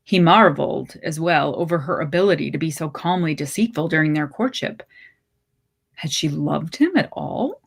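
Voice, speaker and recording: Woman narrator, slightly low voice. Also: lively intonation, normal speed, creaky, breathy edge. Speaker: story reader. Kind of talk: literary short story narration.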